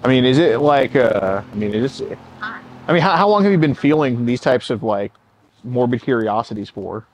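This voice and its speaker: Loud, blunt young man outside. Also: mid-range voice, lively intonation, normal speed, slight background noise. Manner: loud, blunt, hesitant fillers. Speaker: confrontation host. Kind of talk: confrontational questioning. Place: outdoors in a driveway.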